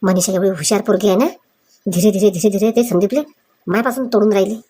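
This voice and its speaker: A woman's voice: mid-range voice, fairly even intonation, fast, dark tone, breathy edge, close to an everyday voice. Cartoon casting: a woman as a jealous older mother, suspicious grumbler. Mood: bitter, jealous. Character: resentful, suspicious older mother of a married son. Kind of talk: comic cartoon dialogue.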